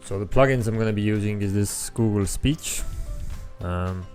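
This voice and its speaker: A young man with an Estonian accent, his first language Estonian, walks through a coding tutorial in a relaxed way, with frequent ums as he thinks aloud. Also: on the low side, moderate pace. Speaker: app developer tutorial host. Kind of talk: screen-recorded coding tutorial. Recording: faint background music.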